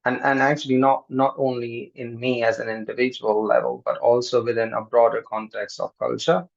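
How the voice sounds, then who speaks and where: An earnest man from Pakistan shares a halting personal testimony, often restarting his sentences. His voice is gravelly and mid-pitched, and the audio sounds dull and lo-fi. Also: level tone. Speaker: seminar participant. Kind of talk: personal testimony on a video call.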